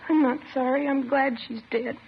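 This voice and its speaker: High, creaky-voiced shaken teenage girl. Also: fairly even intonation, moderate pace. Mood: tearful, bitter. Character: shaken, bitter teenage girl, murdered woman's daughter. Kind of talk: 1950s radio drama acting. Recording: muffled, slightly noisy old radio recording.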